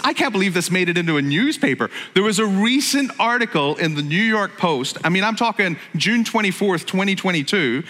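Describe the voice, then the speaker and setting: A middle-aged pastor from Northern Ireland gives a sermon, chatty and joking, with plenty of asides. His voice sits fairly high and has a bright, forceful edge. A long reverb trails behind him, as in a large church hall. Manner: jokey, conversational, self-interrupting.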